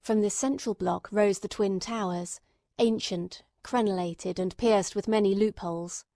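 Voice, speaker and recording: A woman's voice: mid-range voice, steady tone, a little slow, dark tone, dry recording, clean studio sound. A woman reading scripted literary narration as an audiobook narrator.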